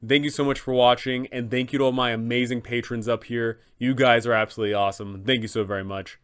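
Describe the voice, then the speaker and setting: A man's voice: medium pitch, steady tone, fast talker, clean tone. A man hosting a game master's guide, explaining in an enthusiastic, conversational way. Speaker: dungeon master and channel host.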